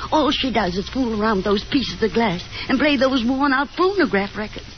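A woman's voice: fairly high pitch, animated, moderate pace, close to an everyday voice. An actress playing a fretful, nagging middle-aged Southern mother, fussy and insistent, in 1950s radio drama style. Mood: anxious, reproachful. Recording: dull, noisy 1950s radio broadcast.

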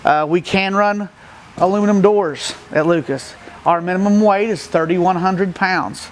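High-voiced middle-aged Midwestern man, plain-spoken. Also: steady tone, unhurried, a little hiss or hum. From Midwestern United States. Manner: plain-spoken, matter-of-fact, many fillers. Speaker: stock car racer. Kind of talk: casual walkaround explanation. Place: open metal shed with gravel floor, outdoors nearby.

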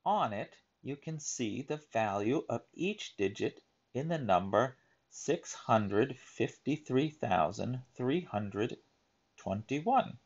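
A man reading a children's picture book aloud in a deliberate, emphatic teaching manner, adding explanatory asides. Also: mid-range voice, expressive, breathy edge, highs cut off.

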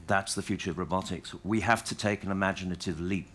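A middle-aged man on a conference panel, explaining his point in a measured way. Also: deep voice, fairly even intonation, fairly bright tone, breathy edge. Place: conference hall stage.

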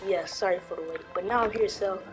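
A woman voicing a cocky, playful hero father in a fan game roleplay, with battle yells and grunts. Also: mid-range voice, quick pace, natural voice. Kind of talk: fan roleplay acting over video game. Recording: loud music over voice.

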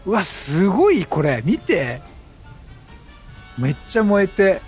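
A man giving excitable, chatty video game commentary, exclaiming often and playfully mimicking the characters. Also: sits high, takes it slowly, faint music behind. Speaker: gameplay streamer.

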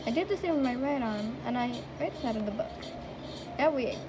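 High-voiced woman reading game text. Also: lively intonation. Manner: quick, stumbling over words. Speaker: game player reading aloud. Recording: noisy home recording.

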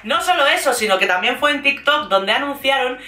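A woman with a Castilian Spanish accent chatting casually and playfully as an online host, in an animated, gossipy, slangy way. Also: high-pitched, a little fast, echoey room, a little hiss or hum. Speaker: YouTube host recapping reality TV. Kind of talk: casual, chatty vlog commentary.

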